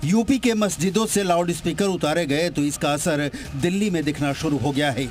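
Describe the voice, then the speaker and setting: High-voiced man narrating news over music. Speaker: news reporter narrating a report. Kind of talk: scripted television news narration. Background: music bed.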